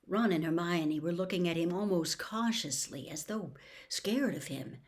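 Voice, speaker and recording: A woman's voice: on the low side, normal speed. A woman narrating a storybook expressively as an audiobook reader.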